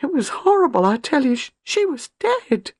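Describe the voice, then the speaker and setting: A woman's voice: on the high side, sing-song, normal speed, dry recording, studio quality, pitched above the performer's own voice. A woman reading an audiobook, voicing a frightened, distraught young woman who talks in breathless, pleading bursts. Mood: terrified. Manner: breathless, pleading, rising panic.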